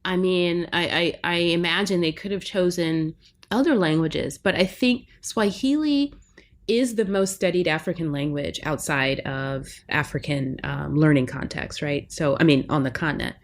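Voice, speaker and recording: Lower-pitched woman, thoughtful linguist guest. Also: normal speed. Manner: reflective, often checks in with "right?". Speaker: linguistics professor, podcast guest. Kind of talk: academic interview talk.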